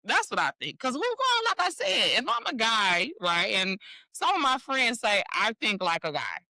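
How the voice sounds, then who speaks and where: Blunt woman in her forties, bright voice. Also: fairly high pitch, very animated, moderate pace, no room sound. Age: 40s. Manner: blunt and direct, talks straight to the viewer as "sis". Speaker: vlogger. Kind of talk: opinionated commentary on a news story.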